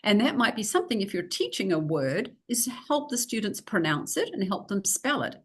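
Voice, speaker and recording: A woman's voice: medium pitch, big pitch swings, brisk, slightly rough. New Zealand woman giving a teaching lecture. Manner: explanatory, practical, with frequent fillers. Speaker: teacher trainer. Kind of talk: recorded lecture with slides.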